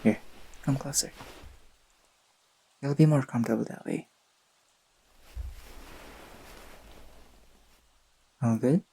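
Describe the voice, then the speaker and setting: A performer voicing a gentle, teasing young boyfriend in an intimate sleep-aid roleplay, speaking softly and tenderly with a breathy, slightly husky voice. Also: mid-range voice, normal speed, low-quality recording. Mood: tender, amused. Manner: tender, playful, murmured delivery.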